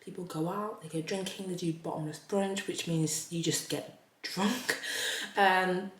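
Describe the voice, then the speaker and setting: A woman's voice: lowish voice, a little slow, reverberant. Chatty woman vlogger. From Leeds, northern England. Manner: rambling and animated, lots of fillers, exclaims in disbelief. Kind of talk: casual chatty vlog while doing makeup.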